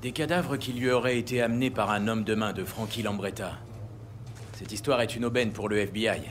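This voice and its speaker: An actor playing a composed, courteous justice official in a crime audio drama, speaking in a formal, articulate and measured way. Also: male voice, lowish voice, slight background noise, natural voice. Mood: composed, satisfied.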